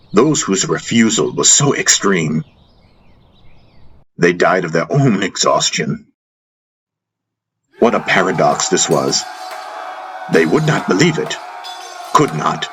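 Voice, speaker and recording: A man narrating a fantasy audiobook in a solemn, reverent, deliberate dramatic style, as a first-person storyteller. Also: sits low, fairly bright tone, slight background noise. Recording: narration over a music soundtrack. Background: prominent music.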